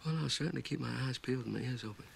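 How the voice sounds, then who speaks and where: Suave, gravelly older power broker. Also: male voice, medium pitch. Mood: smoothly evasive. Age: 50s. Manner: courteous, drily ironic, unhurried. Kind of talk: film acting. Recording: film dialogue with loud background noise.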